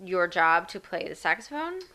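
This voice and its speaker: Bright-voiced woman hosting a chat. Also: fairly low pitch, sing-song, normal speed. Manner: chatty, many likes and kindas. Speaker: interview host. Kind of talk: casual interview.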